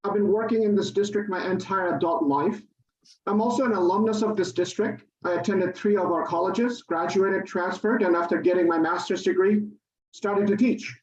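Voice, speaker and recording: A man, a college professor, giving a formal, measured public comment over a remote call. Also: high-pitched, a little mellow, echoey room, far from the mic, dull audio.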